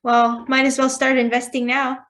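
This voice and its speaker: A woman co-hosting a podcast, chatting casually, reacting quickly and teasing her co-host with playful questions. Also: high-pitched, little pitch movement, fairly bright tone. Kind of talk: casual chat.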